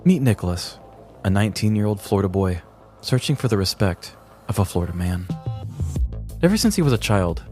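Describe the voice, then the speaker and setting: A man co-hosting a podcast, telling a scripted comedic story in a deliberate, mock-grandiose way. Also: lowish voice, very animated, darkish tone, faint music behind. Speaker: podcast co-host.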